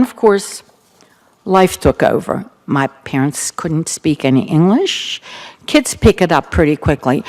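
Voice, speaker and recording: An older woman with a Baltimore accent, the keynote speaker, recounting her family's past in an earnest, reflective talk with gentle humor. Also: low voice. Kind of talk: personal testimony talk.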